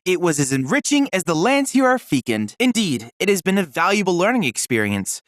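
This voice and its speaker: A man's voice: sits high, sing-song, a little fast, dry recording, studio quality. A young man playing an earnest, scholarly android boy research assistant in a video game, speaking with formal, precise, courteous diction. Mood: grateful, earnest. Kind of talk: scripted video game dialogue.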